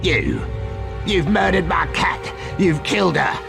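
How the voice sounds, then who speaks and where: Furious caretaker, high, very gravelly, screeching, accusatory, game villain. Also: male voice, slow, heavily acted. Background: music.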